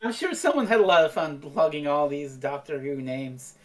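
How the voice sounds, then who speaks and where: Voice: medium pitch, lively intonation, normal speed. Podcast co-host chatting casually, swearing freely. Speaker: podcast co-host reviewing an audio drama. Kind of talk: casual chat.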